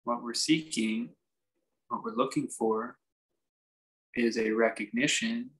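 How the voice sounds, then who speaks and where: A man leads a guided meditation as its teacher, in a voice of medium pitch. He gives his instruction off the cuff, in measured fragments. Reflective pauses break up his sentences as he goes. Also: fairly bright tone, rough recording.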